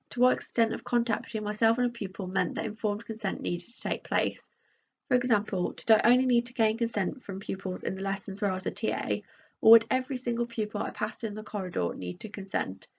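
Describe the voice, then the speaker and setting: A woman's voice: mid-range voice, steady tone, brisk, vocal fry, breathy edge, highs cut off. A woman reading out a scripted academic conference presentation as a researcher.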